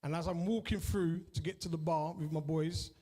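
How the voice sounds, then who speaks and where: High-voiced East London stand-up comic. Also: male voice, steady tone, normal speed, dark tone. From East London, England. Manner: chatty, swears freely, many repeated tags like "innit" and "right". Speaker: stand-up comedian. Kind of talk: stand-up comedy set with crowd work. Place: comedy club with a live audience.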